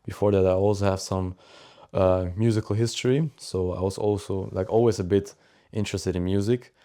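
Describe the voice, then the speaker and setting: A man's voice: low voice, fairly even intonation, fairly quick, mellow. A young man from Austria chatting casually as a podcast guest. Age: teens to young adult. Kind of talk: casual interview chat.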